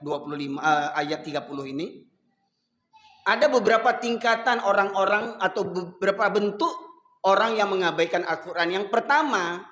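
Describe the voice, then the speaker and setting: High-voiced Medan-accented preacher, echoey hall. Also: male voice, pushed and bright. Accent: Medan Indonesian. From Sumatra, Indonesia. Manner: rises and falls, slows to chant Quran verses. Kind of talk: sermon.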